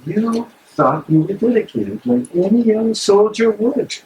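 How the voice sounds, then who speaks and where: An actor playing a gentle, calm German pastor in prison, speaking in a measured way in a play reading. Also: male voice, sits high, a little hiss or hum, poor audio. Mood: calm, consoling. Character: calm, consoling German pastor imprisoned in wartime. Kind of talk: dramatic reading of a stage play.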